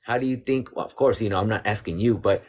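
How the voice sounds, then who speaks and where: A man's voice: fairly low pitch, quick pace, darkish tone. A man speaking earnestly and measuredly as a guest in a discussion, slipping Spanish phrases into his English. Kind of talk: casual discussion.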